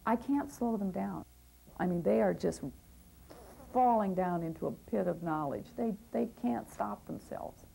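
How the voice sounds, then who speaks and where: A woman's voice: medium pitch, measured pace, gravelly, mellow, slight background noise, poor audio. A woman advocate and teacher speaking earnestly and with passion in a documentary interview, sometimes restarting her sentences.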